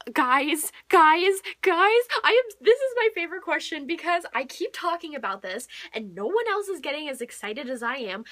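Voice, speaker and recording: A woman's voice: high-pitched, pushed and bright. A young woman chatting casually as a book vlogger, gushing and emphatic, often repeating words for emphasis. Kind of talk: casual chat to camera.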